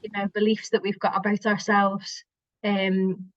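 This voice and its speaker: A woman's voice: mid-range voice, monotone, measured pace, no echo. Chatty Liverpool woman, dry and dull. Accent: Liverpool, softened by years in Scotland. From Liverpool, England. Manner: chatty, warm, restarts sentences often. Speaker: guest, somatic body worker. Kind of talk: casual podcast chat.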